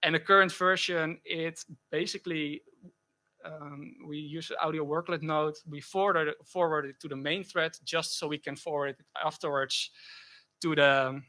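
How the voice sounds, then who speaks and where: A man giving a technical conference talk and answering questions, speaking haltingly with frequent self-corrections. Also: fairly high pitch, fairly even intonation, pushed and bright. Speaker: conference speaker presenting a project.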